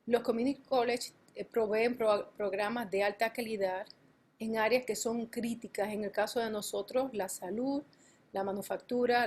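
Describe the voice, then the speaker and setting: Halting woman giving a conference talk. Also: on the high side, a little mellow. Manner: halting, frequent fillers and self-corrections. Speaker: college campus administrator presenting on a panel. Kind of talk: conference presentation. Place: conference panel, remote or on stage.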